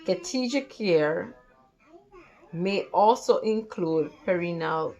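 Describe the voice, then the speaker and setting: Female tutor for a read-along lesson: lowish voice, unhurried, careful and a bit halting. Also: expressive, darkish tone. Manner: halting, careful reading with stumbles and repeats. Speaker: tutor reading study material aloud.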